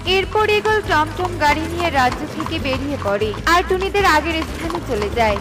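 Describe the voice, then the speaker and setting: A woman with a very high voice narrates a children's story from a script. Music plays clearly behind her storytelling. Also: lively intonation, moderate pace.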